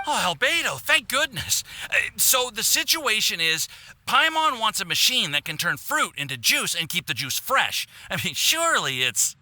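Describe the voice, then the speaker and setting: Anime game youth: eager, flustered assistant, high-pitched, piercingly bright, exasperated and hesitant. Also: male voice, very animated, moderate pace, exaggerated voice. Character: eager, flustered young male assistant alchemist.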